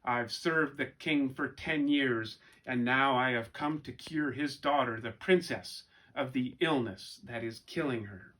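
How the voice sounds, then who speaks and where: A man's voice: mid-range voice, a little slow, few pauses, sharp tone, poor audio. A man voicing a humble, wounded former soldier who presents himself earnestly as a pilgrim in a told folktale.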